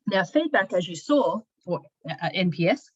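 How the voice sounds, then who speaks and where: A woman narrating an informal software walkthrough, explaining each step conversationally as a product demonstrator. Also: fairly low pitch, lively intonation, moderate pace, breathy edge, lo-fi sound. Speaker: product demonstrator, company sales and marketing director.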